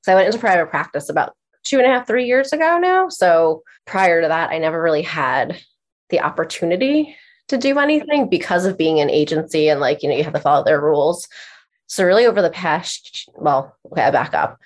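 Woman, podcast guest, slightly low pitch, congested, chatty, informal storytelling, lots of "like", self-quoting. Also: expressive, brisk. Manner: chatty, informal, heavy use of "like", quotes her own thoughts. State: stuffy, congested. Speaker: podcast guest, therapist and trainer. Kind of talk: casual personal storytelling.

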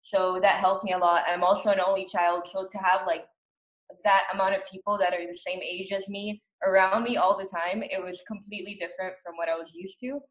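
A woman's voice: medium pitch, steady tone, fairly quick. A young woman from Brazil, a university student speaking earnestly in a casual chat. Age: young adult. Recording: echoey room.

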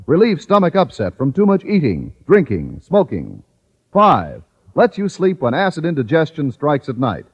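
An American man announcing a scripted commercial on old-time radio with an emphatic sales delivery. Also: breathy edge. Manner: emphatic, declarative sales pitch. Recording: dull old radio recording.

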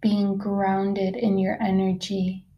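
A woman guiding a meditation, soft-spoken and unhurried, leaving long pauses between soothing phrases. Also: medium pitch, completely flat delivery, lo-fi sound. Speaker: meditation guide. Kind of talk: guided meditation, slow soothing narration.

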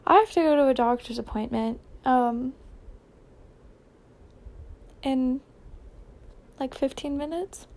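A young American woman with a high voice talks through a casual podcast at an unhurried, slow pace. She rambles informally, often second-guessing and correcting herself. Her tone is soft-edged and mellow rather than bright. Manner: informal, self-questioning, trailing off and correcting herself. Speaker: solo podcast host.

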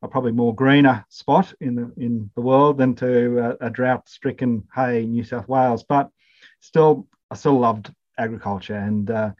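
A man's voice: mid-range voice, steady tone, moderate pace, muffled recording. A middle-aged man from Zimbabwe, a podcast guest talking casually with many fillers and restarts. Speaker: podcast guest, incoming college principal. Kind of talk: casual interview chat.

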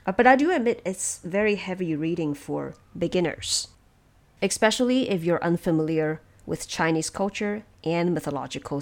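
A woman with a low voice hosts an explanatory segment for an audio series. She speaks from prepared notes in a relaxed, chat-like style, addressing listeners directly and setting out each point in a measured way. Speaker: podcast host and narrator of production notes.

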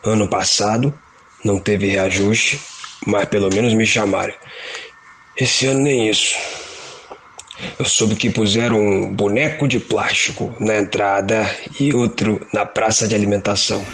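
An actor playing an older retired mall Santa with a Rio de Janeiro accent, downhearted and colloquial in an audio drama. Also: male voice, lowish voice, fairly even intonation, a little slow, a bit bright, slightly rough, heavily acted, rough recording. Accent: Brazilian, Rio de Janeiro. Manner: resigned, colloquial. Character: downhearted, resigned retired mall Santa, gentle old man.

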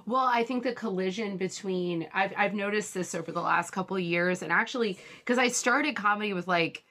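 A woman's voice: mid-range voice, brisk, bright, forceful tone. A woman speaking candidly and articulately in a casual, reflective conversation. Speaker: comedian.

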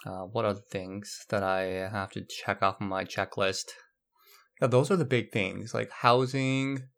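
A man's voice: fairly low pitch, steady tone, measured pace, vocal fry, fairly bright tone. A young man from Brazil hosting a solo podcast, rambling casually with many fillers and restarts. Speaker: podcast host.

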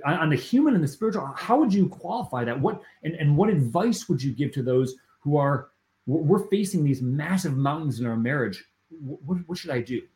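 A man's voice: a little high, lively intonation, a little fast, darkish tone, echoey room. A man hosting a faith podcast interview, talking earnestly and emphatically and often checking in with "right?". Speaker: podcast host.

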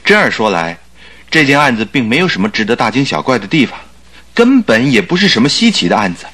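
An actor playing a stubborn, skeptical doctor who is a detective's companion, arguing theatrically and insistently in a Chinese radio drama. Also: male voice, mid-range voice, very animated, fast, pushed and bright, breathy edge, heavily acted. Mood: dismissive, questioning.

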